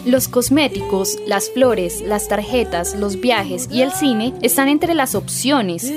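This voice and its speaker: Higher-voiced Colombian woman presenting radio. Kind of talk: scripted magazine-show narration. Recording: music bed behind the voice.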